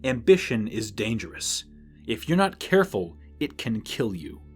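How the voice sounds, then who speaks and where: A man's voice: lowish voice, very animated, moderate pace, soft background music. A man acting in an audio drama as a dour, menacing bounty hunter, cold, scheming and sarcastic. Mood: bitter, menacing.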